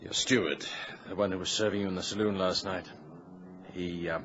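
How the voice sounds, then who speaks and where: A worried sailor mate, deep, respectful, old radio show, bit noisy. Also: male voice, fairly even intonation, brisk. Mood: uneasy. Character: deferential, uneasy ship's mate reporting to his captain. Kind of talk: old-time radio drama acting. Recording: muffled, noisy vintage radio recording.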